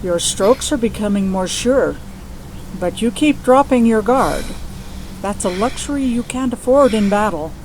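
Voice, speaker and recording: A woman playing a stern, composed older combat trainer, measured and instructive, in an audio drama. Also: a little high, animated, darkish tone, a little hiss or hum, rough recording. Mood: firm, instructive.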